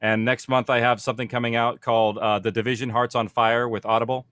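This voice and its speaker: A man's voice: mid-range voice, level tone, fast talker, fairly bright tone, clear, resonant tone, no room sound, studio quality. A man speaking informally as a panel host, explaining things in a conversational way. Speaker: panel host, audio production producer.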